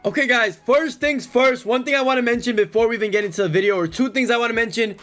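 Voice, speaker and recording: Young male gaming YouTuber, hoarse, high-voiced, slangy and hyped. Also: fast talker, soft background music. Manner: energetic, slangy, keeps calling viewers bro and man. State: hoarse, lost voice. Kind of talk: casual gameplay commentary.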